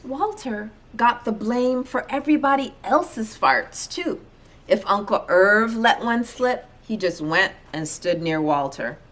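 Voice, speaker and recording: A woman reading a children's story aloud in an expressive narrating style. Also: on the high side, slow pace. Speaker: storybook reader.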